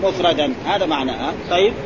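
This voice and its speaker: A man with a lilt teaches grammar at a fast clip, his voice sitting high. He keeps firing little prompts at his students. The sound is muffled, with chatter and traffic noise around him. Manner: quizzing, prompting with repeated "eh?" and "ha?". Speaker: sheikh teaching Arabic grammar. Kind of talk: classroom explanation with questions to students.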